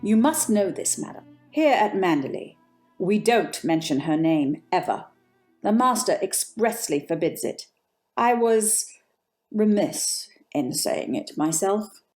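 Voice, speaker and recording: A woman's voice: mid-range voice, expressive, measured pace, darkish tone, soft background music, close to an everyday voice. A woman playing a coldly deferential housekeeper of a grand manor, clipped and restrained, in an old-time radio drama. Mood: stern, guarded.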